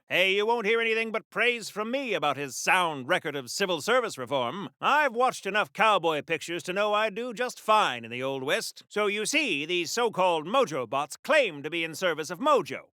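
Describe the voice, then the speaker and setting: An actor playing a pompous, prudish American superhero war veteran of the 1940s in a video game, with a theatrical old-fashioned delivery. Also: male voice, high-pitched, sing-song, moderate pace, few pauses, sharp tone, no room sound, clean studio sound, full character voice. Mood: stuffy, boastful. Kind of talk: video game acting in 1940s radio hero manner.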